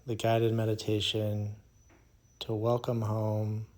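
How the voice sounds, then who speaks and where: A man leading a guided meditation in a soothing, hushed manner, leaving long pauses between phrases. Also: on the low side, completely flat delivery.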